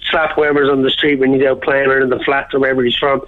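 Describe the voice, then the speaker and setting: Male caller on a tinny phone line, Dublin Irish, quick-fire, insistent, spoiling for an argument. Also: medium pitch, little pitch movement, over a noisy crowd. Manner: combative, insistent. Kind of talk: heated argument. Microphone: telephone.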